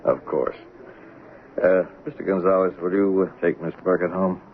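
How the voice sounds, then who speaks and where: An actor playing a patient, placating police official who speaks haltingly in a 1970s radio drama. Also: male voice, low-pitched, fairly even intonation. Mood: persuasive, soothing. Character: soothing, hesitant police official humoring a deluded woman. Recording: narrow, hissy old radio broadcast.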